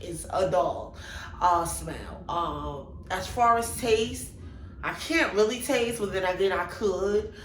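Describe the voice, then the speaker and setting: Young woman, stuffed-up nasal voice, animated storytelling, echoey room with faint hum behind. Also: mid-range voice. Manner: animated, chatty, exclaims to God and Jesus. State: congested, nasal. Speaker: vlogger. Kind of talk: casual storytime vlog.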